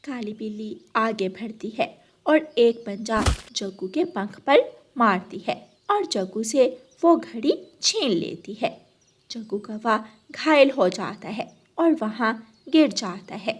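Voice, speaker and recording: Lady narrator reading a kids cartoon story, voice a bit high. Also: a bit bright, husky. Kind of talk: scripted children's story narration.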